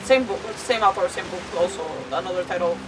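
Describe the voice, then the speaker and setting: A woman giving casual, rambling livestream commentary with animated asides as she plays a game. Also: fairly low pitch, brisk, far from the mic, a crowd in the background, poor audio. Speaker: game streamer. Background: video game music.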